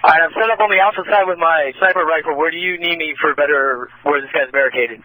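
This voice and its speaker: A man's voice: a little high, fast, sharp tone, dry recording, rough recording. A man working as a police officer, speaking terse tactical traffic over a two-way radio.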